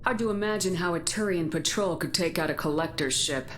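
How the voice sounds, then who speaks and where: Casting: actress as direct, supportive military commander for a game, fast delivery, background noise. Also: female voice, on the low side, close to an everyday voice. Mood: businesslike, skeptical. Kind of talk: video game acting.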